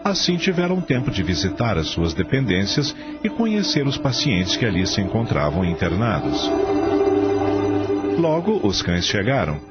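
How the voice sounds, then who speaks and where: A man's voice: lowish voice, very animated, moderate pace. A Brazilian man narrating a radio drama from a script in a formal storytelling manner. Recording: dull lo-fi audio. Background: clear music bed.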